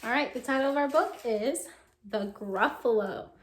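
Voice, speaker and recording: A woman teacher reading a picture book aloud to children, expressive and encouraging, asking her young listeners questions. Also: fairly high pitch, normal speed. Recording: roomy echo with faint background noise.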